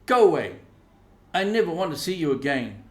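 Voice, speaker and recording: A man acts out a proud storybook schoolgirl, showy and easily wounded. The voice is lifted high and emphatic, with the echo of a room around it. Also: sing-song, moderate pace. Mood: angry.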